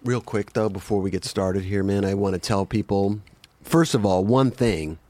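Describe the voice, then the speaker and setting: A male podcast host chatting casually and loosely, his voice slightly raspy from a sinus cold. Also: lowish voice, moderate pace, a little mellow, dry recording. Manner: loose, laid-back, peppered with "dude" and "man". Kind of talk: casual chat. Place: studio.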